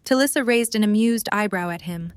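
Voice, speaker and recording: Woman narrator reading a story for an audio drama, medium pitch, scripted delivery. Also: brisk, clear, resonant tone, dry recording.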